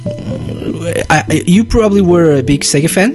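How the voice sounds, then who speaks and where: A man hosting a podcast interview, chatty and quick to laugh. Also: fairly high pitch, lively intonation, music in the background. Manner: giggly, chatty, lots of fillers. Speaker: podcast host.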